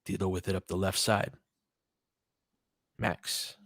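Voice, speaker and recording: A man's voice: deep voice, level tone, slow, fairly bright tone, no echo, studio quality. A man doing informal live basketball play-by-play, mixing short clipped calls with conversational coaching analysis and fan-like asides.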